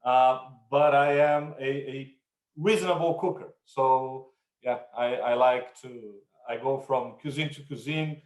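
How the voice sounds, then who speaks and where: A man's voice: medium pitch, fairly even intonation, unhurried, reverberant. A man with a Brazilian accent, introducing himself on a panel over a video call, self-deprecating, with small restarts.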